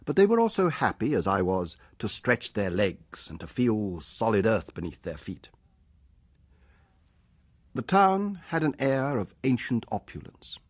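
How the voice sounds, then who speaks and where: An actor playing a wry, courteous British ship's doctor who also narrates a radio drama in measured, literate speech. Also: male voice, fairly low pitch, huge pitch swings, no room sound, exaggerated voice. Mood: measured narration. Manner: measured, literate, slightly formal. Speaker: narrator and lead character. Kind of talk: radio drama dialogue and first-person narration.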